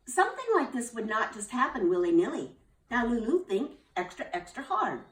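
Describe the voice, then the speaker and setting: A woman voicing a calm, knowing female school nurse with a measured, matter-of-fact manner. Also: a little high, far from the mic, voice pushed up. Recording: roomy, from off the mic.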